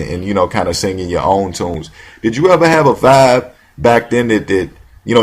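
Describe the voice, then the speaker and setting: Husky-voiced man hosting a talk show. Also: fairly low pitch. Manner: rambling, many fillers and restarts, slangy. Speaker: radio show host. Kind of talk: casual talk show interview.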